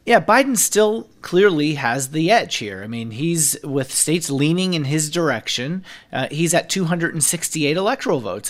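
A man working as a senior political editor, explaining polls and campaign spending fluently and rattling off figures on a podcast. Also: on the high side.

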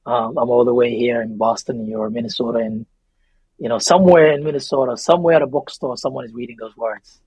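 Husky Somali-accented man, earnest. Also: medium pitch, steady tone, fast, a little mellow, no echo, low-quality recording. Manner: earnest, emphatic, repeats phrases. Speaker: interview guest, memoir author. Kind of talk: reflective interview conversation.

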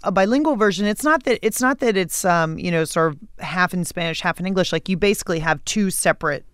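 A woman's voice: on the low side, quick pace, fairly bright tone, clear, resonant tone, no room sound. American woman hosting a radio show, dry sound. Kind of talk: conversational public radio hosting.

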